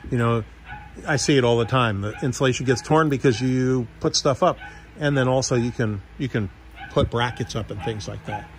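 A man's voice: medium pitch, slight background noise. Folksy man giving an offhand property tour. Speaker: real estate agent giving a property tour. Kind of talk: casual unscripted walkthrough commentary.

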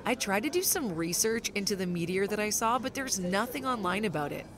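A woman's voice: medium pitch, lively intonation, brisk, crowd noise, lightly acted. A woman playing a curious, chatty young woman in an amateur audio drama, speaking in an inquisitive, conversational way.

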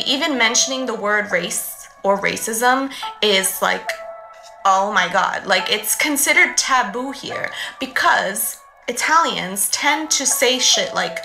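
A young woman from New City, explaining to her phone camera in a casual, animated way with conversational asides. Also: on the high side, reverberant, poor audio. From New York City, USA. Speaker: vlogger. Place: room at home, indoors.

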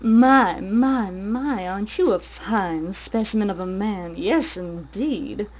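A woman's voice: mid-range voice, a little mellow. Woman playing a coy, flirtatious lady. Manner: coy, teasing, drawn-out. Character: flirtatious, gracious lady admiring a man.

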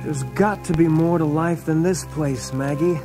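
Guy doing a young restless dreamer, earnest, high lively voice, storybook acting, faint music. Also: a little slow, close to an everyday voice. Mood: yearning. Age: young adult. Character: restless, frustrated young trailer-park dreamer. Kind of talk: children's read-along storybook acting.

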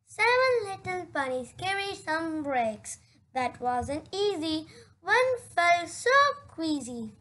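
High-pitched, slow young storyteller, bright and animated. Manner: exclaims sound words dramatically. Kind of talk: read-aloud picture book narration.

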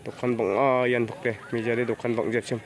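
Man, casual phone vlogger outdoors, medium pitch, easygoing chatter. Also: little pitch movement, normal speed. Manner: chatty, greets people as he walks. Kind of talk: casual walking vlog. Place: outdoors at a rural fishing pond fair. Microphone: handheld phone camera.

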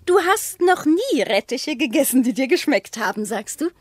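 An actress playing a sceptical, nagging wife in a loveless marriage in a German radio play, speaking precisely and argumentatively. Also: female voice, high voice, very animated, moderate pace, slight background noise.

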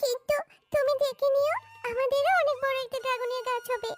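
An earnest little animal girl from a children's cartoon, played by a woman. Her voice is extremely high and childlike, with a pleading way of talking. Music plays underneath. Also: steady tone, fairly quick. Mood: hurt, earnest. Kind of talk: cartoon voice acting. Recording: music behind the voice.

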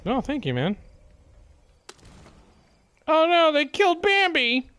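A man's voice: very high-pitched, huge pitch swings, slow, pushed and bright, no room sound. A man doing casual live gameplay commentary, exclaiming, swearing and muttering jokey remarks to himself. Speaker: video game live streamer.

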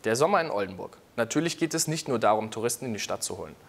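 Young male news anchor, brisk scripted read, average pitch. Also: lively intonation, vocal fry, a little hiss or hum. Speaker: news presenter.

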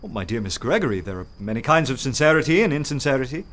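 An actor playing a witty, self-assured young poet in a radio play, courteous, dry and eloquent. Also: male voice, mid-range voice, animated, quick pace, fairly bright tone, lightly acted. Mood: teasing, calm. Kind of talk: full-cast radio play acting with first-person narration.

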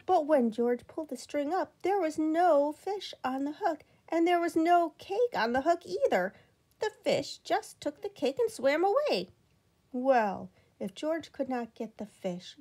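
A woman reading a children's picture book aloud at home, slow and expressive, with some lines dropped to a whisper. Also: on the high side, slightly rough. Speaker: storybook reader. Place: home, indoors.

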